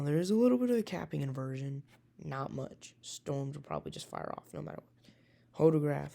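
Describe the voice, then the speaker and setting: Man, raspy, heavy vocal fry, dark mellow tone, rambling casual weather talk. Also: a little high. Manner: rambling, hesitant, self-correcting. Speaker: amateur weather enthusiast. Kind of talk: casual forecast walkthrough.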